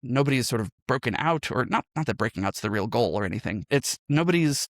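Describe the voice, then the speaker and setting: A man's voice: mid-range voice, expressive, quick pace, no echo, clean studio sound. A man telling his story as a podcast guest in a conversational, reflective way, often checking in with a "right?" as he explains. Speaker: podcast guest, improv teacher and game master.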